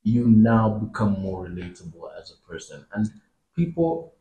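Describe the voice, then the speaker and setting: A man's voice: lowish voice, big pitch swings, normal speed, mellow. A man hosting a podcast, chatting casually and reflectively, with short backchannel replies. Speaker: podcast host. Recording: roomy echo.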